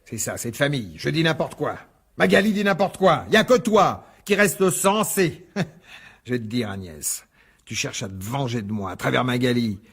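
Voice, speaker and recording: A man's voice: mid-range voice, sing-song, moderate pace, fairly bright tone, breathy edge, exaggerated voice. An actor playing an agitated, sarcastic middle-aged divorced father in a French radio drama, biting and insistent. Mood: scornful. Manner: biting, insistent, repeats himself.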